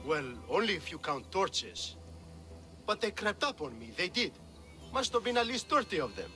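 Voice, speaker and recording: A man's voice: high-pitched, big pitch swings, normal speed, bright, forceful tone, faint music behind, full character voice. A man playing a cowardly, bumbling guard in a video game, hesitant and blustering as he corrects himself. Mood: evasive bluster.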